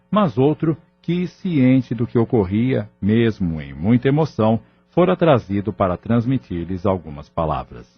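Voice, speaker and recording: A man's voice: fairly low pitch, husky. A man with a Brazilian accent narrating a scripted audio novel in a dramatic storytelling style. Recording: slightly muffled.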